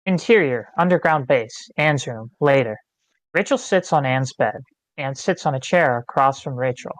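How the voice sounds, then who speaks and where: A man's voice: mid-range voice, normal speed, dry recording, low-quality recording. A man reading stage directions as narrator at a screenplay table read.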